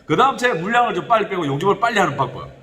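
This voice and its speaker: A middle-aged male welding instructor talks in a strong regional Korean dialect, rambling along with plenty of fillers. His voice is fairly high and bright and leaps up and down as he explains. A long, hall-like echo trails each phrase. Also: very fast. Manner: animated, rambling, with frequent fillers and pitch jumps. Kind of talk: casual spoken instruction to camera.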